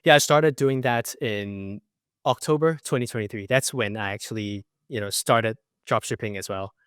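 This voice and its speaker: A man in his twenties from Macau, a podcast guest telling his story in a relaxed way with lots of fillers. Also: medium pitch, moderate pace, smooth, dry recording, clean studio sound. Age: 20s. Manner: relaxed, many fillers like you know. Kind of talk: casual interview.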